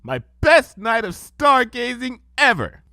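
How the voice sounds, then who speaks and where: A man's voice: extremely high pitch, very animated, slow, bright, forceful tone, no echo, clean studio sound, voice pushed up, heavily acted. A man voicing an earnest, bookish, anxious young female pony in a storybook reading, polite and careful in manner. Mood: eager. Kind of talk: storybook fan fiction character reading.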